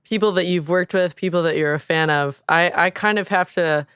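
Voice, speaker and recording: Female podcast co-host, lower register, clean resonant tone, effusive and earnest, very dry recording. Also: brisk, fairly bright tone, clean tone, dry recording. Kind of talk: casual podcast chat.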